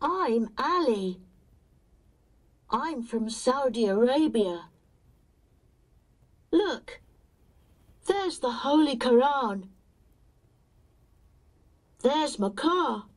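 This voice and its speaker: A woman reading a children's textbook audio track slowly and carefully. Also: high voice, expressive.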